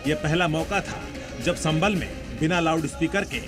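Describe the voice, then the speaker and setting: A man's voice: on the high side, moderate pace. A man reading a scripted, dramatic news voice-over. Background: loud music.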